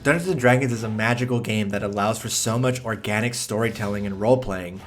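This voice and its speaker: A man's voice: fairly low pitch, fast talker, soft background music. A man narrating a scripted video essay in a blunt, profane, opinionated way.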